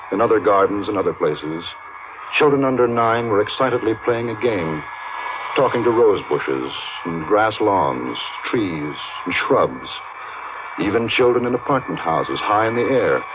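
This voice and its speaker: An actor playing a measured, wistful storyteller narrating a 1950s radio drama. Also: male voice, fairly low pitch, expressive. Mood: reflective. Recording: muffled, noisy 1950s radio recording.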